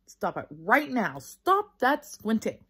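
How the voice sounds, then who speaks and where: A woman's voice: a little high, wildly swooping pitch, takes it slowly, fairly bright tone, husky, voice pushed up, exaggerated voice. A woman voicing a grumpy, bossy little first-grade tattletale in a storybook, emphatic and indignant. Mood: suspicious, bossy.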